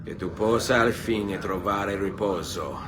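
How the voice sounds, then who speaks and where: An actor voicing a young, vengeful Italian assassin in a video game, with an Italian accent and a terse, controlled, solemn manner. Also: male voice, lowish voice, unhurried, crowd noise, close to an everyday voice. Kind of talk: video game dramatic acting. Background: music.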